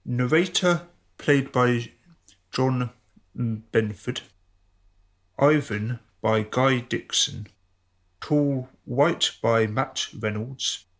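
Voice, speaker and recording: A male announcer reads scripted credits. He goes slowly through each item in a medium-pitched voice with noticeable creak.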